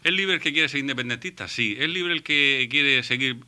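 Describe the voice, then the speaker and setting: A man from Murcia, a panelist on a radio debate show, who talks in a halting way and often restarts his words. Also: medium pitch, pushed and bright. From Murcia, Spain. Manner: halting, repeats and restarts words while reasoning.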